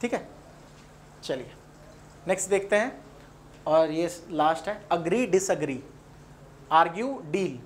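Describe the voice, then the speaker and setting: A man's voice: on the high side, expressive, slow pace, long pauses, slight background noise. A man with an Indian accent teaching English grammar in an informal lecture, explaining with example sentences and repeating key points. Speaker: English grammar teacher at an exam coaching class.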